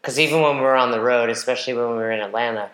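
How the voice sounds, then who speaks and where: A man's voice: medium pitch, very fast, fairly bright tone. A man co-hosting a podcast as a coach, chatting casually, teasing his co-host and steering the talk. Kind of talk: casual chat. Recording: roomy echo.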